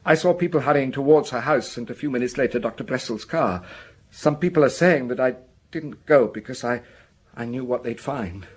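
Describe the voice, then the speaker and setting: An actor playing an anxious, defensive village schoolmaster in a radio drama, earnest and hesitant, stumbling over repeated words. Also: male voice, mid-range voice, animated, normal speed, breathy edge. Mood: nervous, defensive.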